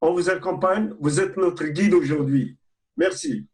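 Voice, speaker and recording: A man's voice: high-pitched, expressive, moderate pace, creaky. A man giving an effusive, marvelling welcome on a video call as president of a congregation. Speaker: synagogue president.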